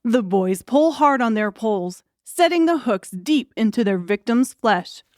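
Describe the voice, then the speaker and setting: A woman's voice: fairly high pitch, expressive, unhurried, a little mellow, no echo, studio quality. A woman reading scripted audiobook narration as the storyteller.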